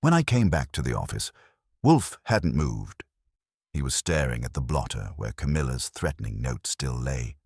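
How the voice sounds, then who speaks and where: A man's voice: a bass voice, very animated, normal speed, a little mellow, husky, dry recording, studio quality. A man reading a first-person detective story as an audiobook narrator.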